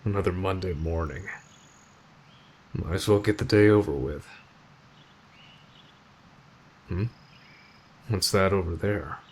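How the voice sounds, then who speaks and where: A man acting in an ASMR roleplay as a gruff, aloof teenage delinquent, deadpan and terse but protective of a friend. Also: sits low, normal speed. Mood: stern, unimpressed.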